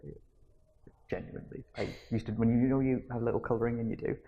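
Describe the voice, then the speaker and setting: A young man talks loosely as a guest, rambling and restarting his sentences. His voice has a gravelly fry to it. The recording sounds dull and lo-fi, with a faint hiss underneath. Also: medium pitch, level tone, quick pace. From Manchester, England. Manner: chatty, rambling, with frequent fillers and restarts. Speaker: interview guest. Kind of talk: casual conversational interview.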